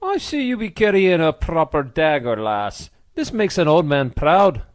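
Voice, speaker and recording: A man voicing a big, boisterous old Scottish man with a booming, hearty Scottish accent. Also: big pitch swings, a little slow, dry recording, studio quality.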